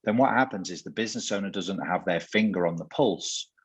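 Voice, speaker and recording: A deep-voiced man hosts a relaxed business interview, his tone low and a little husky. He talks earnestly and circles back on his words as he builds each point. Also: a little fast. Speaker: host. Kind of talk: conversational interview.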